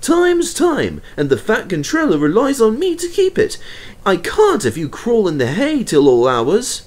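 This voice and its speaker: Storybook role: haughty, fussy male engine, high and lively, put on by a man. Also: full character voice. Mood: cross, haughty. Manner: brisk, cross, self-important. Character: proud, fussy, impatient male tank engine.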